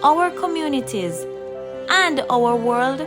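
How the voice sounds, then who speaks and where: Higher-pitched woman narrating a children's story, music behind. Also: lively intonation, slow pace. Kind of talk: scripted children's read-along narration. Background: background music.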